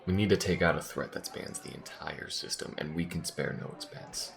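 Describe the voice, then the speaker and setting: A man playing a gruff alien soldier who gets easily flustered and halting, in a scripted audio roleplay. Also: extremely low pitch. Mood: earnest, uneasy. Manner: halting, stammering when flustered.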